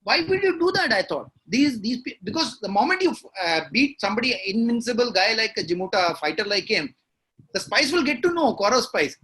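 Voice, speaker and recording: A man's voice: sits high, sing-song, fairly quick, pushed and bright, rough recording. A man with an Indian accent, a novelist guest in a conversational interview, explaining his work reflectively and slipping into Hindi at times.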